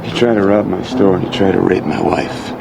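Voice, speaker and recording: An actor playing a menacing town strongman in a screen drama, gruff and confrontational. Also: low voice, steady tone, fairly quick. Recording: noisy film soundtrack.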